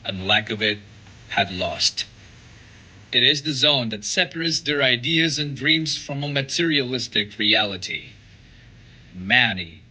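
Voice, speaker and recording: A man's voice: medium pitch, bright, forceful tone, husky, poor audio. A man narrating a documentary in a scripted, dramatic, rhetorical style.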